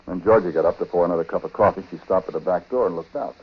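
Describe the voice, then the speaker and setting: Haunted, low-voiced husband in radio drama. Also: male voice, husky. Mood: reflective, subdued. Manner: halting, conversational, brooding delivery. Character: haunted, uneasy husband confessing a dark story. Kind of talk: 1940s radio drama acting and first-person narration. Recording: muffled, noisy vintage 1940s radio broadcast.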